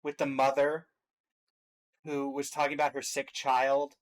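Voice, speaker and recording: A man's voice: medium pitch, completely flat delivery, a little slow. A man co-hosting a reaction video, chatting casually as he ranks game characters. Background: faint music.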